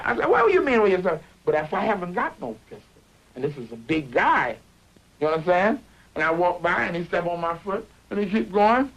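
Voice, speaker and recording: Excitable, high-voiced rambling worrier. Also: male voice, poor audio. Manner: rambling, insistent, stammering and repeating himself. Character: excitable, worrying male construction worker arguing with workmate. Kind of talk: improvised conversational dialogue in an animated short.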